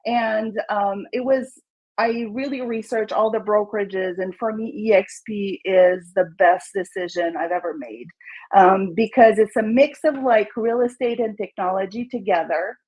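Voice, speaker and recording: A woman's voice: mid-range voice, a little slow, a little mellow. A woman from Montreal with a Québécois French accent, a real estate agent who introduces herself in an eager, chatty way full of "like" and "um". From Montreal, Canada. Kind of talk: introducing herself on a video call. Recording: dull lo-fi audio.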